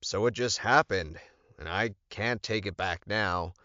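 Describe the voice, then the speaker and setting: A man's voice: fairly low pitch, unhurried, pushed and bright, dry recording, lo-fi sound. A man voicing a defensive male werewolf alpha who pleads his case in an audiobook.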